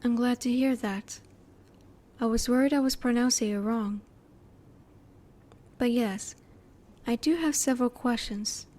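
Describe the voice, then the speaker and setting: A curious female alien, played by a woman in an audio roleplay. Her voice sits fairly high and has a mellow, soft tone. She asks things politely in formal, precise wording, keeping her emotions reserved. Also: steady tone, a little slow, pauses often, lightly acted. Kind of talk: roleplay audio drama, one-sided dialogue to the listener.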